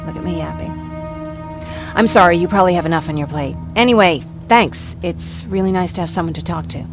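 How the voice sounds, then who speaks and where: A woman's voice: on the low side, brisk, music in the background, lightly acted. A woman playing a talkative, protective young war survivor in a video game, wry and self-deprecating in natural dialogue. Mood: chatty, fond.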